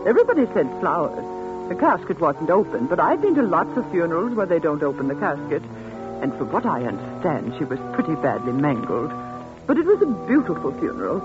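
A woman's voice: low-pitched, sing-song, fairly quick. A woman playing a gossipy, matter-of-fact small-town lady in a 1940s radio suspense drama. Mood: chatty, relishing. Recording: muffled 1940s radio, music behind.

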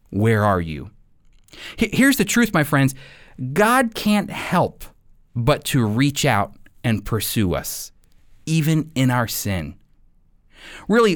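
A male Bible teacher delivers a sermon in a medium-pitched voice. He phrases deliberately and earnestly, leaning on key words and repeating them for emphasis. The sound is very dry, with no room around it. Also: no echo. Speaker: preacher and Bible teacher, program host.

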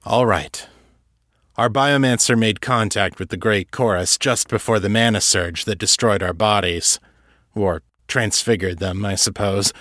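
Gruff disembodied ex-commander for an audiobook, played by a male narrator: folksy, cagey, wry, very dry close sound. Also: on the low side, a little slow, a bit bright, no room sound. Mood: explaining.

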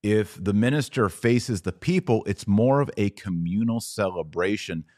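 A man with a low-pitched voice, recorded close and dry, gives casual podcast commentary. He explains like a teacher, then slips into informal asides to his listeners. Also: moderate pace, a little mellow, no echo.

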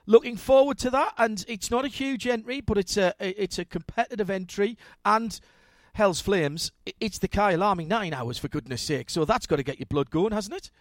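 High-pitched, upbeat male host. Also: moderate pace, dry recording, studio quality. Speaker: radio show host. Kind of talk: hosting an interview.

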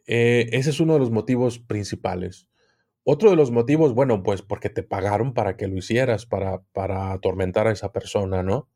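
A man with a Mexican accent speaking as an interview guest, explaining things conversationally and haltingly, often repeating words. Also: lowish voice, dark tone. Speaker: podcast guest, occultism expert.

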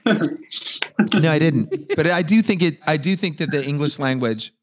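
A man's voice: on the high side, expressive, measured pace. A man hosting a podcast over a video call, rambling and swearing a lot, and drunk by his own account. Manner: profane, rambling, tipsy. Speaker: podcast host. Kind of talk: casual chat.

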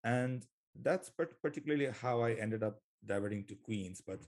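A man's voice: medium pitch, moderate pace, darkish tone. A young man from Bangladesh, a graduate student, talking in a thoughtful, hesitant way on a podcast. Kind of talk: conversational podcast talk.

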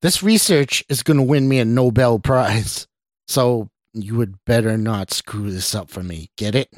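A man's voice: medium pitch, a little slow, dry recording, lightly acted. Man playing an eager, scheming mad doctor. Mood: gloating. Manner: eager, coaxing, condescending. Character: excitable, scheming male doctor, smugly confident mad scientist. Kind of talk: amateur dramatic story reading.

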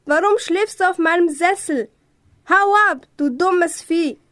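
A high, youthful witch acted by a young woman from a children's amateur radio play. She is scatty and easily riled, proudly describing her world. The sound is very dry. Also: unhurried, a bit bright, no room sound. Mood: exasperated.

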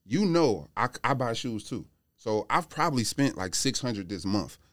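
A man's voice: mid-range voice, big pitch swings, normal speed, no room sound, clean studio sound. A man chatting casually on a podcast, telling rambling, slangy anecdotes as a panelist. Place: podcast studio.

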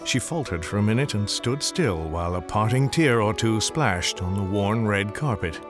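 An actor playing a wry, theatrical narrator of a radio drama, telling the story in an ornate, deliberate way. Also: male voice, lowish voice, lively intonation, natural voice. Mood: dramatic. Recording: music clearly behind.

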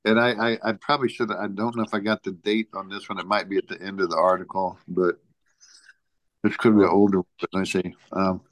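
A man co-hosting a casual talk show, reading out a list in a halting way and searching for his place. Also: deep voice, level tone, dry recording, rough recording. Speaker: podcast co-host presenting a top ten list.

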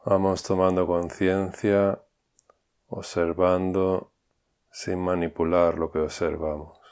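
Man, guided meditation, very deep low voice, calm and unhurried. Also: smooth. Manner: calm, unhurried instructions with long pauses. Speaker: meditation instructor.

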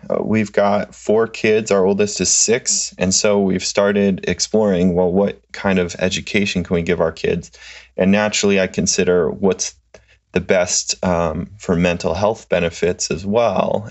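A man in his thirties, a social worker, answering interview questions in a relaxed, halting way with many fillers. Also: deep voice, dull audio. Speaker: guest, clinical social worker.

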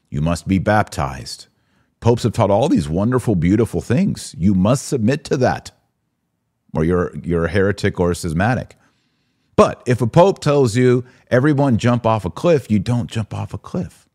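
Deep-voiced American man hosting a podcast. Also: animated, normal speed, mellow, dry recording, clean studio sound. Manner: emphatic, repeats phrases for stress. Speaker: podcast host. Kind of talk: live commentary answering viewer comments.

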